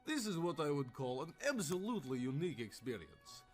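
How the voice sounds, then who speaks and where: A man's voice: a little high, very animated, a little fast, darkish tone, faint music behind, voice pushed up. A man voicing an uneasy, polite, sceptical army lieutenant in a storybook tale, with a stiff, fretful manner. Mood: bemused, polite. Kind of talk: storybook character voice.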